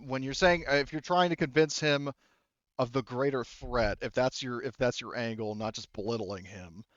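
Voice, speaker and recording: A man running a tabletop roleplaying game as game master, thinking aloud as he explains rules and story in a deliberate way. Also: medium pitch, a bit bright, no echo, dull audio.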